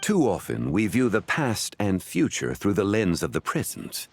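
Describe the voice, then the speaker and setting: A man voicing a composed, courteous, learned gentleman in a video game, with formal, measured phrasing. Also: on the low side, wildly swooping pitch, creaky, full character voice. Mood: reflective. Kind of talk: scripted video game dialogue acting.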